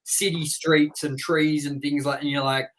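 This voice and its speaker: A man's voice: a little high, level tone, normal speed, a bit bright. A man co-hosting a casual movie review chat, rambling with lots of fillers and "you know". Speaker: co-host.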